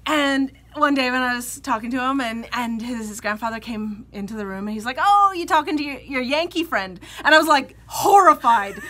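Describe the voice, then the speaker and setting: A Canadian woman co-hosts a knitting podcast in a loose, chatty way, laughing easily as she tells her stories. Her voice is bright and sits a little high, and her pitch moves around a lot. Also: moderate pace, few pauses. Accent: Western Canadian. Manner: chatty, laughs often, animated storytelling. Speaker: podcast co-host. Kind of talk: casual chat.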